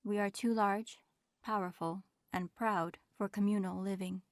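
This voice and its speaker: A woman playing a proud, reserved dragon in human form, speaking formally and with measured aloofness in an audio roleplay. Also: mid-range voice, steady tone, dry recording, clean studio sound, lightly acted. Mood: aloof, reserved. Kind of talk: audio roleplay acting, addressing the listener.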